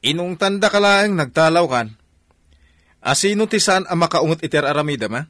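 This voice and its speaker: Stern older brother, slightly high pitch, dry sound, insistent, reproachful, radio drama. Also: male voice, lively intonation, moderate pace, fairly bright tone, no room sound. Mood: angry. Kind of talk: Filipino radio drama acting.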